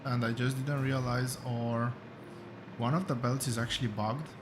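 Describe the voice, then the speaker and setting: A man with a rough voice, thinking aloud as he hosts a relaxed gameplay commentary, with many fillers and pauses. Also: mid-range voice, noisy background. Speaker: gaming channel host.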